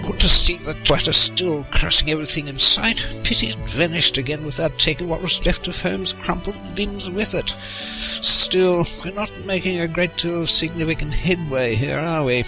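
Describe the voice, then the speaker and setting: High, creaky, sarcastic police inspector played by a man. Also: normal speed, music in the background, natural voice, rough recording. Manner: sardonic, blustering. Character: sour, sarcastic, blustering male police inspector. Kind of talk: audio comedy drama acting.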